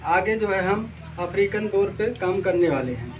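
A man's voice: high voice, expressive, moderate pace, low-quality recording. A man in his thirties showing visitors around his goat farm, explaining plainly and leaning on the same filler phrase over and over. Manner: plain, repeats filler "jo hai" often. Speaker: goat farmer giving a farm tour. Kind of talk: casual walking tour explanation. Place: outdoors on a rural farm among fields and brick sheds. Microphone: phone camera held by someone else, moving. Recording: loud outdoor noise with insects.

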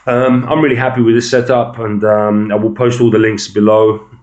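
A man giving a casual, unscripted phone walkthrough as an amateur reviewer, explaining things in a halting way. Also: on the low side, little pitch movement, a little mellow, lo-fi sound.

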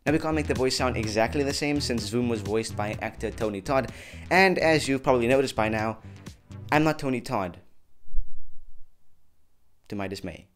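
A man's voice: medium pitch, moderate pace, long pauses. A young man hosting a scripted but casual video tutorial, addressing viewers in a chummy, jokey way. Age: young adult. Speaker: tutorial host. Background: background music.

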